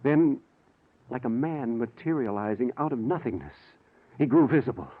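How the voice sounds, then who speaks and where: A man's voice: mid-range voice, lively intonation, moderate pace, lightly acted. Man playing an anxious scientist, tinny old radio. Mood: grave narration. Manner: earnest, grave, dramatic. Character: anxious, conscience-stricken scientist, brother of a killer, narrating. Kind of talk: 1940s radio acting. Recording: noisy, band-limited 1940s radio recording.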